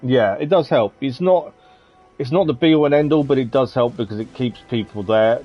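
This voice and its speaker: A man's voice: mid-range voice, normal speed. A man live streaming a game, talking casually with his chat in a conversational, explanatory way. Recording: slightly dull mic over game music.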